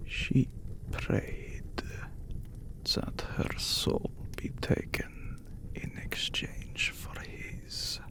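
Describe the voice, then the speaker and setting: A man playing an ancient, courteous, brooding vampire count in an ASMR roleplay, speaking in a breathy, gravelly hush with formal phrasing and long pauses. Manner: slow, formal, hushed, with long dramatic pauses. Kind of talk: ASMR romantic roleplay, scripted character acting.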